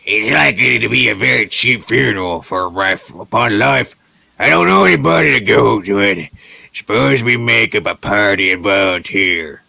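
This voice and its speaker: A man's voice: lowish voice, animated, moderate pace, gravelly, bright, forceful tone, slightly rough, dry recording, heavily acted. A man playing a callous, jocular businessman in a radio play reading, with a flippant, offhand manner. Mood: flippant, amused. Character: callous, jocular businessman gossiping about a death.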